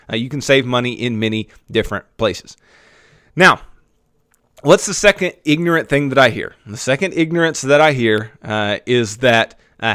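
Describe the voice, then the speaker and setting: Man, podcast host, conversational finance explainer, mid-pitch voice, dry close sound. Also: a bit bright, no room sound. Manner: conversational, frequent "right?" and "okay?" check-ins.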